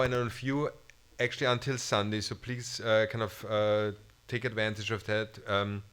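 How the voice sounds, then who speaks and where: A man's voice: mid-range voice, fairly bright tone. A middle-aged man with an Austrian German accent, a museum director giving a formal welcome speech on an auditorium stage at a lectern microphone, often restarting and hesitating. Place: auditorium stage under bright lights.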